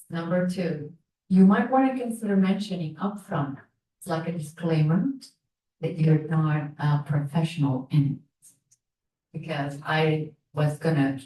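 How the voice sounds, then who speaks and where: Low-voiced Arabic-native woman, roomy hall. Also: a little mellow, a little hiss or hum. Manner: halting, with fillers. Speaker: audience member. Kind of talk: asking questions at a pitch event. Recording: roomy hall, distant mic.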